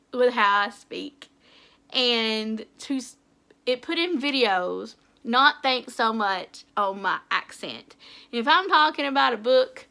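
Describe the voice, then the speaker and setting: A woman's voice: a little high, creaky, pushed and bright. A young woman with an Appalachian English accent, chatting casually to camera about her goals, talkative and laughing often at herself. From Tennessee. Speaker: author and book YouTuber. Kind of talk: casual vlog chat to camera.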